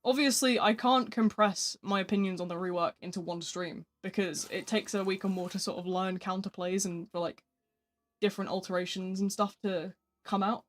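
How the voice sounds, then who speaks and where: A gaming streamer chatting casually during a live stream, answering viewer messages in a flat speaking voice. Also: medium pitch, normal speed, soft background music.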